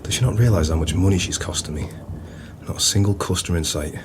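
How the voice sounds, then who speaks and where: An actor playing a grumbling, florid-tongued pub landlord in an audio play, with a theatrical manner. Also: male voice, low-pitched, fast talker, background noise, close to an everyday voice.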